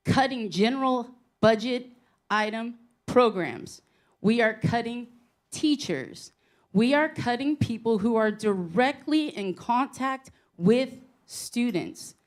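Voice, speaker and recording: Loud-voiced woman teacher at a podium. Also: fairly high pitch, expressive, slow pace. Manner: loud, forceful, pointed. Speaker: physical education teacher. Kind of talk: public comment speech. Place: school board meeting room. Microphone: standing at a podium mic.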